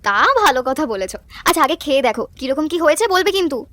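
A woman's voice: high-pitched, expressive, very fast, a bit bright, no echo. A woman voicing a hardworking, cheerful young village wife in a cartoon, eager and affectionate. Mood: welcoming, grateful. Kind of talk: cartoon dubbing.

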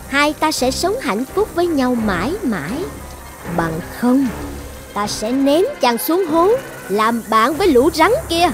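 A woman voicing a possessive young island sorceress in a cartoon fairy tale, coaxing and threatening with commanding self-assurance. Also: a little high. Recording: music behind the voice.

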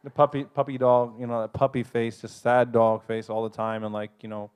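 A man speaking on a panel at a convention, giving earnest, brisk advice to the audience. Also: medium pitch, flat delivery, darkish tone, clean tone. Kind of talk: live advice talk to an audience. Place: convention hall.

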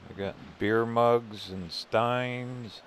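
A man's voice: medium pitch, steady tone, extremely slow, a bit bright, slight background noise. An older man narrating a casual walk through a museum as a travel vlogger, easygoing and wry with chuckling asides. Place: indoor museum buildings.

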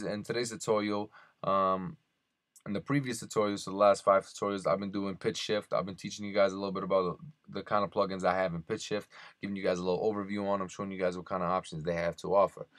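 A man hosting an informal software tutorial, speaking off the cuff in a halting way to his viewers. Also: on the low side, no echo. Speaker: tutorial host.

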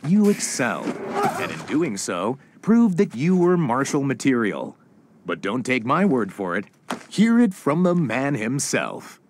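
Lively corporate promo announcer. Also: male voice, mid-range voice, measured pace, a little hiss or hum. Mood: upbeat, promotional. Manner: polished, salesy. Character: upbeat corporate orientation video announcer. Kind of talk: promotional scripted narration.

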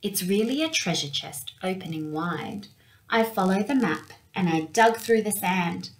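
A young woman reading a picture book aloud for children in an expressive storytelling manner. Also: mid-range voice, unhurried, low-quality recording. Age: young adult. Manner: expressive, with acted-out sound words. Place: small indoor room with window blinds.

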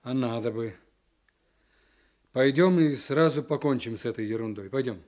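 An actor playing a stern, decisive district official, authoritative and clipped, in a radio play. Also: male voice, medium pitch, normal speed, darkish tone, lightly acted. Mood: businesslike. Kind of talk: Soviet radio play acting.

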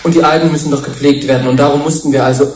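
An actor playing a self-important, easily rattled TV interviewer in a German radio play, halting and insistent. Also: male voice, on the high side, steady tone, close to an everyday voice. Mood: pleading, irritated. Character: flustered, self-important male TV interviewer, defensive and impatient. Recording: roomy sound with faint noise.

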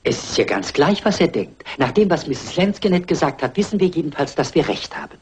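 Mild gentleman sidekick, lively intonation. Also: on the high side, a little fast, a little hiss or hum. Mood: cautious concern. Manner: polite, hesitant, mild. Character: cautious, deferential gentleman helper of a lady sleuth. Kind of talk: German crime radio play acting.

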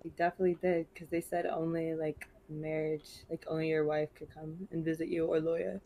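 A woman's voice: low-pitched, level tone, brisk, warm tone. A woman improvising game roleplay as a suspect's girlfriend, blunt and insistent, in casual profane slang. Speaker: role-player acting as suspect's girlfriend.

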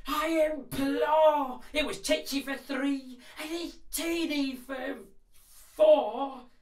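A man's voice: extremely high pitch, steady tone, pitched above the performer's own voice, full character voice. A man voicing a flustered, pleading little old lady in a storybook, exclaiming and dramatic. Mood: exasperated. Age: older. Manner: exclaiming, dramatic, drawn-out pleas.

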